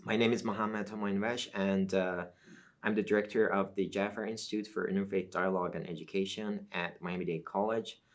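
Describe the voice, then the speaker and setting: Man from Iran, Azeri Turkish native, very low voice, measured academic explaining, lots of uh fillers. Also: steady tone. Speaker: interview guest, professor. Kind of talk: academic interview.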